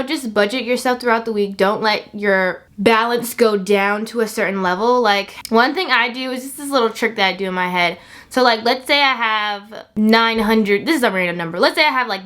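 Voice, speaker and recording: A woman's voice: mid-range voice, normal speed, a bit bright. A woman of twenty-one talking casually to her viewers as a vlogger, in an upbeat, encouraging, chatty way with emphatic repetition. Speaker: vlogger hosting own channel. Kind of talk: casual chat to camera.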